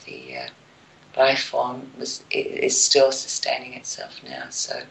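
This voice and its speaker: A woman's voice: a bass voice, big pitch swings, unhurried, gravelly, low-quality recording. A woman speaking as a guest in a casual radio chat. She makes her points emphatically, then trails off into near whispers. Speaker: show guest.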